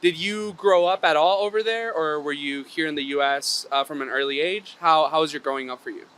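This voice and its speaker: Bright upbeat male podcast host. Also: a little high, brisk, smooth. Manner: upbeat, chatty questioning. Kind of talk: casual interview hosting.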